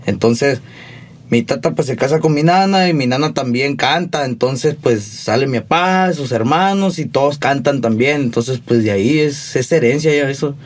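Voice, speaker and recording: A man's voice: mid-range voice, moderate pace. A man in his twenties from Sonora with a Sonoran accent, a musician guest telling his story in a laid-back, slangy, rambling way. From Sonora, Mexico. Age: 20s. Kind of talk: casual interview storytelling.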